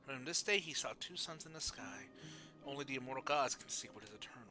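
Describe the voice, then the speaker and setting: A man's voice: medium pitch, expressive, fast, bright, forceful tone, faint music behind. A man streaming a video game, commenting on the play and reading game text aloud.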